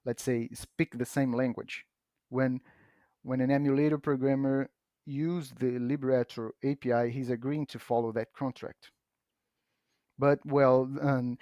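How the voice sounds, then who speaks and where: A man whose first language is Portuguese, patiently explaining software on a podcast, often restarting his sentences. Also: medium pitch, no room sound, clean studio sound. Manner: patient, halting with frequent restarts and fillers. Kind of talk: casual explanatory chat.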